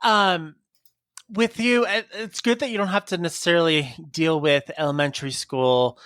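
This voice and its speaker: Male podcast co-host, slightly high voice, laughs a lot, casual. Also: moderate pace, clear, resonant tone, no echo, clean studio sound. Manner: laughs often, chatty and animated. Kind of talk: casual interview chat.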